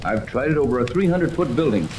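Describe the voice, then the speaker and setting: An actor playing a confident, commanding yacht captain and earnest inventor in a 1930s radio serial. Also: male voice, mid-range voice, lively intonation, fast talker, close to an everyday voice. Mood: proud, explaining. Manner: commanding, explanatory. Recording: noisy, echoey 1930s radio recording.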